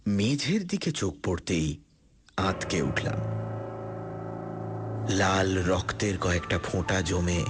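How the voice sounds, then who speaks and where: A man narrating a suspense story dramatically, in a scripted first-person style with deliberate pauses. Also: low voice, expressive. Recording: loud music under the voice. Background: loud background music.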